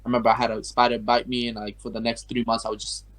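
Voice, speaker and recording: A young man from Afghanistan talks at a quick clip in a mid-range voice. He rambles through his story as an interview guest, stringing thoughts together with constant fillers. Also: medium pitch, little pitch movement, fairly bright tone. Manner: rambling, heavy use of like and you know. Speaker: guest artist. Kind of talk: casual interview chat.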